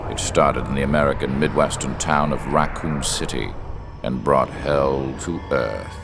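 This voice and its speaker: An actor playing a cold, scheming male villain who narrates a game cutscene in a slow, grave, measured way. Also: rumbling low, vocal fry, music in the background, full character voice.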